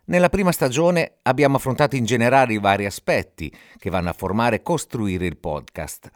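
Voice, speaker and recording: A man's voice: mid-range voice, animated, fairly quick, no echo, clean studio sound. A man hosting a podcast, giving scripted explanatory narration in a didactic way, speaking directly to the listener. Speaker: podcast host.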